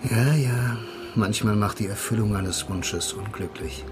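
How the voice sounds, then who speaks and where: An actor playing a blind, film-loving loner, narrating a radio play in a dry, wry, laconic manner. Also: male voice, on the low side, measured pace, lightly acted. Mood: dry, probing. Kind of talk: first-person radio drama narration and dialogue. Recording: loud music behind the voice.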